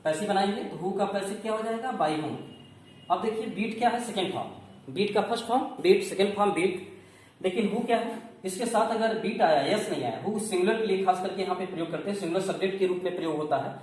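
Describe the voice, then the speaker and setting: A man's voice: high-pitched, a little fast, a little mellow. A man with a North Indian accent teaching grammar in a didactic classroom style, often asking questions and answering them himself. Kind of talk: classroom-style lesson in Hindi with English terms. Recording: very echoey room, distant mic, faint noise.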